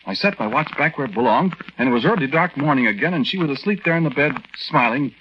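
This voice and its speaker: A man's voice: medium pitch, expressive, quick pace, a little hiss or hum, lightly acted. An actor playing an aggrieved young soldier husband who tells his story in a folksy, hesitant, conversational way in a 1940s radio drama. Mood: tense, confiding. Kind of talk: 1940s radio drama first-person narration. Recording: dull old 1940s radio recording.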